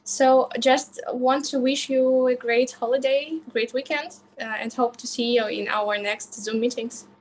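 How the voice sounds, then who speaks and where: A woman's voice: a little high, steady tone, measured pace, few pauses, a little hiss or hum, low-quality recording. Woman giving thanks on a video call. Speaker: event organizer.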